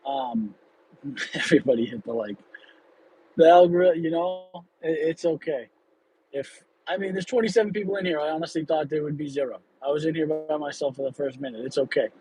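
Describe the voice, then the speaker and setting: A man's voice: on the high side, fairly quick, dark tone, slightly rough, low-quality recording. A man in his late thirties, hosting an unplanned livestream while driving in traffic. He talks casually and candidly, rambling with fillers and restarts. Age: 30s. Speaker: livestream host. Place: car in traffic.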